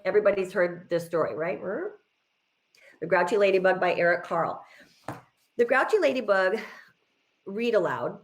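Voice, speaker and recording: A woman's voice: on the low side, fairly bright tone. A middle-aged woman speaking informally as a guest teacher, explaining things in an encouraging way with emphatic repetition. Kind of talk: informal educational talk, answering questions.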